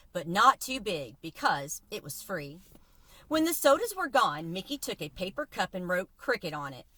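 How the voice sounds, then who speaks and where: A woman storyteller reading a children's novel aloud in an expressive, animated way. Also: on the low side, no echo.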